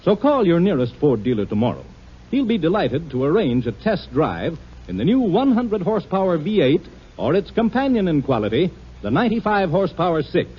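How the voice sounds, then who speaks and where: A man's voice: on the high side, very animated, brisk, slightly rough. A man reading a 1950 radio commercial with polished salesman delivery. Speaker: commercial announcer. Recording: dull lo-fi 1950 radio recording.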